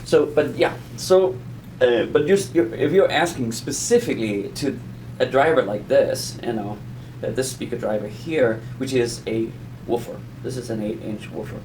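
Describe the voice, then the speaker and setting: Man explaining haltingly, faint background noise. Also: mid-range voice, darkish tone. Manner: halting, rambling explanations with self-repetition. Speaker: audio explainer teaching beginners. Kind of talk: informal conversational lesson.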